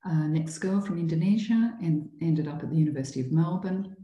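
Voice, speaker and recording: A woman's voice: sits low, fairly quick, mellow, roomy sound. A woman from a school giving an informal, chatty talk to prospective students, explaining things with frequent ums and restarts. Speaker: school staff presenting to students.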